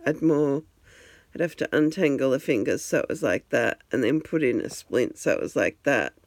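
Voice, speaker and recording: A woman's voice: very deep voice, expressive, normal speed, a little mellow, no room sound. A woman, chatting to her phone camera at home in a rambling, self-deprecating way. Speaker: vlogger. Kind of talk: casual talk to camera. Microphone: handheld phone.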